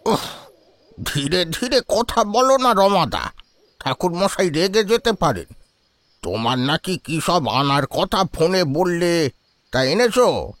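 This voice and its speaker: An actor playing a nervous, irritable old man with a halting, deferential manner in a horror audio drama. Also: mid-range voice, big pitch swings, no room sound. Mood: irritated, hushed. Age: older. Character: nervous, irritable old Bengali man, reverent and easily frightened. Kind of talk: audio horror story acting.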